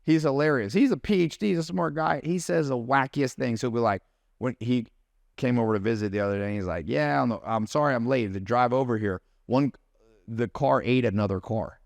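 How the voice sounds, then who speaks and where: A man in casual conversation, telling lively stories and mimicking accents and friends' phrasing. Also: medium pitch, fairly quick, creaky, dry recording, clean studio sound. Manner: animated storyteller, mimics accents. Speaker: podcast guest, business mentor. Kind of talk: casual chat.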